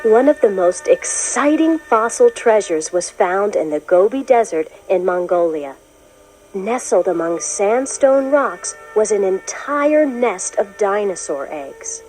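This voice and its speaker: Woman, children's read-along narrator, scripted educational delivery, animated intonation, clear storytelling manner, music behind. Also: medium pitch, a little slow, low-quality recording.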